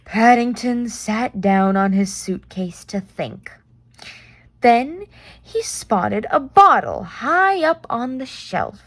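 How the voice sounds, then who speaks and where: A woman reading a children's picture book aloud in an expressive, deliberate way with playful reactions. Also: fairly high pitch, dry recording.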